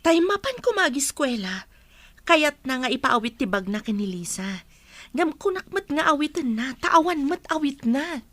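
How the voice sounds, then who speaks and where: A woman's voice: sits high, very animated, normal speed, fairly bright tone, dry recording. A woman playing a defensive, exasperated mother in a radio drama, complaining emphatically. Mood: defensive, indignant. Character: defensive, exasperated mother of school-age daughters.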